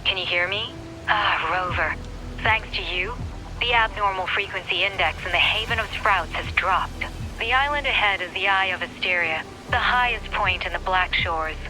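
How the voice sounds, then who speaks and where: A woman voicing a composed, helpful guide in a video game, giving a clear scripted briefing. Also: mid-range voice, bright, forceful tone, rough recording. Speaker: guide character briefing the player.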